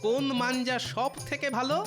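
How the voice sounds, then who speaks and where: A man's voice: very high-pitched, level tone, fairly quick, loud music behind the voice. A man voicing a crafty, jovial older village trickster in a cartoon, explaining things slyly.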